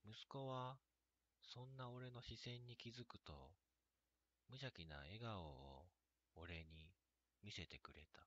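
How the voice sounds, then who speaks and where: A man narrating a scripted first-person story in a measured, hushed way. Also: lowish voice, animated, dull audio. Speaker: reader of own horror and drama stories.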